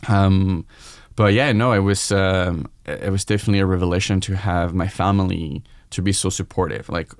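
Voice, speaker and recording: A man in his forties speaks English with a French accent, his low voice open and reflective as he tells his story. The sound is dry and close, with no room around it. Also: fairly even intonation, moderate pace, hardly any pauses, dry recording. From France. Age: 40s. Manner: reflective, open, quoting his own thoughts aloud. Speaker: guest chef. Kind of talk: candid podcast conversation.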